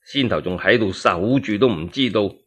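A man's voice: on the low side, moderate pace, breathy edge, pitched below the performer's own voice. A man with a Cantonese accent voicing a scheming male palace official, authoritative and curt, in a radio tale. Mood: curt, urgent.

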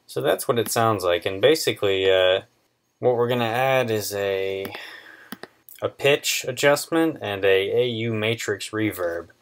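A man in his twenties presenting a casual software tutorial in an informal, halting, unscripted way. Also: fairly low pitch. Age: 20s.

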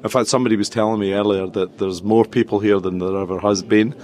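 A man's voice: fairly low pitch, steady tone, fast, darkish tone. A middle-aged man with a Scottish accent, explaining things conversationally as a guest in a casual podcast interview. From Scotland. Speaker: interview guest, tech company chief executive.

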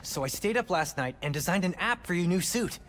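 A man playing a chatty, enthusiastic teenage boy tech whiz in a video game, casual and excitable. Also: high voice, a little fast, a little hiss or hum. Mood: excited. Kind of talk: naturalistic video game acting.

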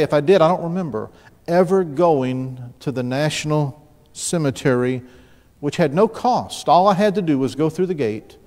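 A middle-aged man from the New Orleans area, preaching at a church pulpit. His voice sits a little high for a man, and he tells stories in a loose, conversational way with frequent restarts. The sound carries a long reverb from the sanctuary. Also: a little mellow. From New Orleans area, Louisiana. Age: 50s. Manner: storytelling, conversational, with restarts and asides to the congregation. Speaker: pastor. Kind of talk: sermon. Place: church sanctuary, large room. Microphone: pulpit mic, mid-distance camera.

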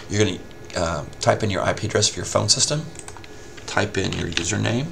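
Guy doing an informal how-to, low voice w lots of fry, talking you thru it step by step. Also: a bit bright, a little hiss or hum. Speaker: help desk instructor. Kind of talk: casual screen-recorded software tutorial.

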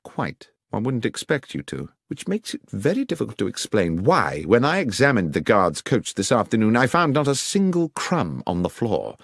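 A man voicing a brisk, incisive male detective in a dramatised audiobook, precise and emphatic, with a coolly sardonic edge. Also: fairly low pitch, wildly swooping pitch, gravelly, dry recording, studio quality, pitched above the performer's own voice, full character voice. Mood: triumphantly explaining.

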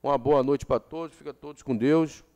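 A man's voice: mid-range voice, moderate pace, darkish tone. A man in his forties, a city councilman from Brazil, giving an impassioned floor speech at a desk microphone in a council chamber, repeating phrases for emphasis. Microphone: desk gooseneck microphone.

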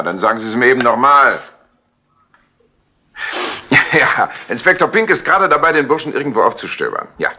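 An actor playing a harried, fussy, impatient colonel in a 1960s radio crime play. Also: male voice, medium pitch, pushed and bright. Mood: exasperated. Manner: fussy, exasperated, hesitant. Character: harried, impatient male colonel, worried senior official. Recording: noisy old 1960s tape recording.

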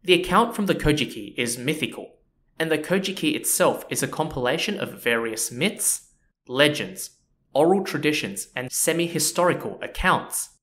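A man hosting a history podcast, narrating in a relaxed, chatty way with frequent asides to the listener. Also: a little high, normal speed. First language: not Japanese. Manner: relaxed and chatty, with frequent "you know" and "so yeah". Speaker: podcast host.

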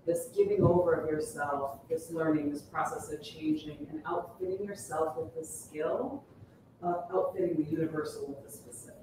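A woman in the audience politely asking a question, with a soft voice. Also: deep voice, expressive, normal speed. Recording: distant, echoey, noisy room.

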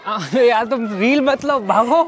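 A man's voice: extremely high pitch, unhurried, crowd noise, noisy background. Playful young man vlogging outdoors. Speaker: travel vlogger. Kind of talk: casual travel vlog chat. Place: outdoors at a site and in a car.

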